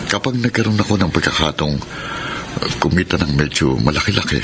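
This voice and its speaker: An actor with a Filipino accent playing a gentle, hesitant former lover in a radio soap drama, with a halting delivery. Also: male voice, rumbling low, lively intonation, background noise. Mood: hesitant, awkward. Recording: loud music bed under radio drama. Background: sentimental music.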